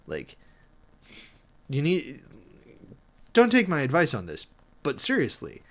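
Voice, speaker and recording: A man's voice: a little high, huge pitch swings, slow pace. Informal man reading aloud with dramatic asides. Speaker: livestream host. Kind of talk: reading a document aloud with off-the-cuff commentary.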